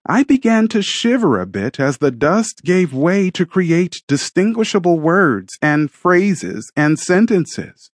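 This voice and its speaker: A man narrating a scripted story dramatically in the first person, with deliberate, emphatic delivery and a husky voice. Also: sits high, sing-song, no room sound, studio quality. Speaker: audiobook narrator and author.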